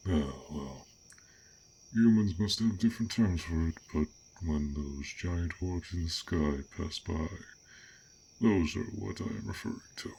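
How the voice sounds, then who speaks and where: A man playing a gentle, curious dragon in a roleplay audio drama, with formal, old-fashioned, pensive speech. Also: low-pitched, measured pace, vocal fry, slight background noise. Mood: apologetic, formal.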